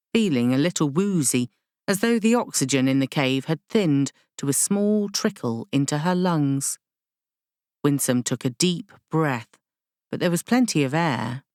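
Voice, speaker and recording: A woman narrating literary fiction from a script as an audiobook reader, in a measured, deliberate storytelling manner. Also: low-pitched, dry recording, clean studio sound.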